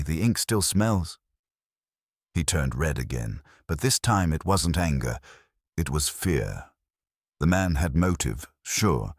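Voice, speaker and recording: A man's voice: sits low, no echo, studio quality. A man narrating a scripted first-person detective story in a wry, hard-boiled way.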